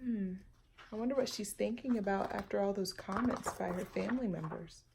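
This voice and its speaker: A woman with a mid-pitched voice reads a picture book for small children. She moves between storybook narration and chatty asides addressed to the young listeners. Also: normal speed, creaky, noisy background. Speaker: storytime reader.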